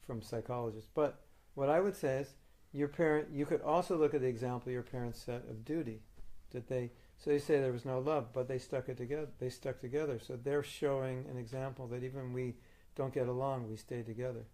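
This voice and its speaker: A man's voice: medium pitch, fairly even intonation, brisk, hardly any pauses, dark tone. A man leading a question and answer session as a spiritual teacher, arguing his point firmly and patiently. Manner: firm, reasoning, argumentative. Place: meeting room.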